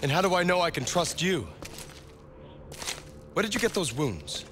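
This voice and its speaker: Urgent warrior, swooping pitch. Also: male voice, sing-song, normal speed, fairly bright tone, a little hiss or hum. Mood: suspicious. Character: earnest, suspicious human warrior of Gondor. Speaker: voice actor in a fantasy game. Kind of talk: dramatic game cutscene acting. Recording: music behind.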